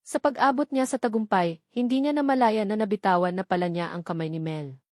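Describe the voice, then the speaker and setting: A woman's voice: medium pitch, fairly quick, darkish tone, no echo, clean studio sound. A woman with a Filipino accent reads a scripted romance story as a dramatic audiobook narration.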